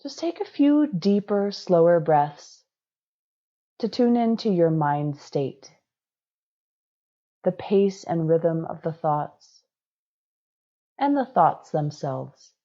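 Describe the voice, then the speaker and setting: Female meditation guide, unhurried and measured, dark mellow tone, instructive delivery. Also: lowish voice, animated, dull audio.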